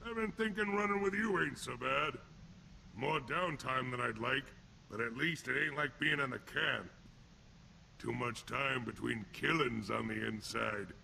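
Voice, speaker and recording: A sneering alien thug from a video game, with a heavily growling, rasping voice and a sharp, hard edge. He talks in blunt, crude street slang like a hardened enforcer fresh out of prison. Faint noise sits behind him. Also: medium pitch, unhurried, exaggerated voice, rough recording. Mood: gruff boasting. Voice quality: gravelly, rough. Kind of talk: video game character acting.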